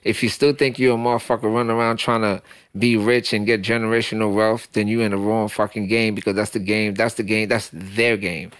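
A man's voice: lowish voice, level tone, brisk, a bit bright, no echo. A man from Haiti, a guest in a small podcast studio, talking on a studio mic in a passionate, profane, preachy way. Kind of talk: casual interview talk. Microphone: studio mic on boom.

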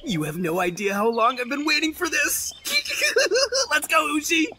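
A man voicing a brash, boisterous, cocky prankster in an anime game, with a loud, boastful and exaggerated delivery. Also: very high-pitched, sing-song, a little slow, a little hiss or hum, heavily acted. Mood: gleeful, taunting.

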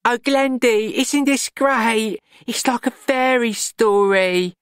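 Audiobook role: cheerful, naive young woman, male narrator acting, gushing and high-pitched. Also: takes it slowly, a bit bright, clean tone, dry recording, studio quality, full character voice. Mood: delighted.

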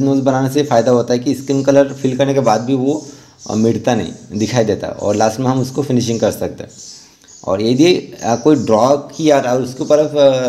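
A man with a soft-edged, mellow voice at a middling pitch talks through an art tutorial step by step. His delivery is informal and halting, with English terms mixed into his explanations. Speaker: rangoli art instructor.

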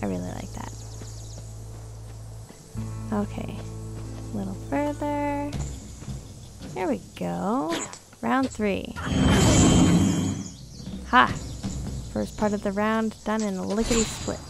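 Female gaming host, casual play-along commentary, noticeable creak, clear game music underneath. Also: medium pitch, big pitch swings, slow pace, long pauses.

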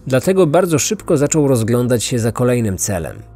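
A man narrating a scripted true-crime story as a podcast host, in a measured storytelling manner. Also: medium pitch, sing-song, warm tone, slightly rough.

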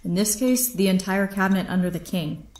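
A woman's voice: medium pitch, fairly quick, a little mellow, a little hiss or hum, lightly acted. An actress playing a proud, bitter female captain held prisoner, with theatrical, formal phrasing in a radio drama. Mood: bitter, resolute. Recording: roomy, echoing sound.

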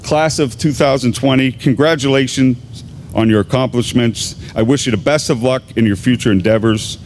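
A man in his fifties, an assistant high school principal, giving a formal, deliberate graduation address at a podium microphone in a large gymnasium. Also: darkish tone, slight background noise. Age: 50s. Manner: measured, deliberate announcing with pauses.

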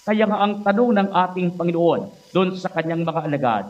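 Higher-pitched Filipino priest, dull muffled sound. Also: male voice, animated, moderate pace. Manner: didactic, asks and answers own questions. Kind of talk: homily, explanatory preaching. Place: indoors at a church altar. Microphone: microphone on stand at lectern, face mask worn.

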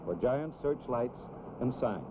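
An American man reads scripted narration in the style of a 1960s educational film, explaining things simply and deliberately for children. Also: medium pitch, animated, noisy background. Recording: dull, lo-fi old film soundtrack.

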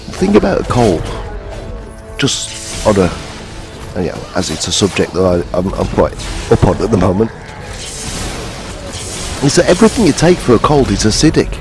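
A man in his fifties with a gruff voice, hoarse from a cold, chatting casually and self-mockingly while playing a game. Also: lowish voice, big pitch swings, moderate pace, long pauses. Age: 50s. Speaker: gaming channel host. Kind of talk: casual let's-play commentary. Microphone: headphones. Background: game music.

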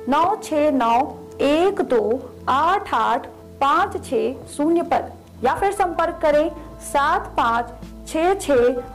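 Slow high-voiced woman announcing an ad. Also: low-quality recording. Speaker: ad voice-over. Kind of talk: scripted advertisement. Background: faint music.

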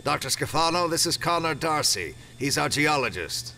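A man's voice: on the high side, very animated, normal speed, creaky, bright, forceful tone, a little hiss or hum, full character voice. An actor playing a grave, matter-of-fact man in an audio drama.

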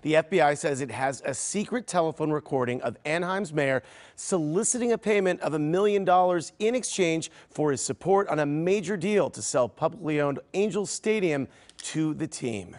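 A man reporting a political story for local TV news from the studio, in a measured newscaster delivery. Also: a little high, expressive, a little mellow.

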